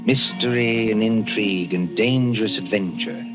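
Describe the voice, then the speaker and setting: A man's voice: fairly low pitch, expressive, normal speed. A man announcing a 1940s radio mystery drama in a dramatic, suspenseful manner. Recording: dull old 1940s radio recording with music.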